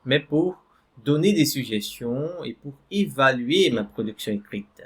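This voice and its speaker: Man teaching French slowly. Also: medium pitch, animated, fairly bright tone, husky. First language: Portuguese. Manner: slow, repeats "d'accord?" and "voilà" to check in; reads screen text aloud while explaining. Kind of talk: online language lesson.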